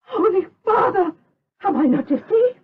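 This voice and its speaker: Outraged, shrill, very high-pitched mother. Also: big pitch swings, measured pace, exaggerated voice. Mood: outraged accusation. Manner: shrill, declamatory, accusing. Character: outraged, righteous noble mother denouncing a villain. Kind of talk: gothic radio drama acting. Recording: dull, lo-fi radio drama recording.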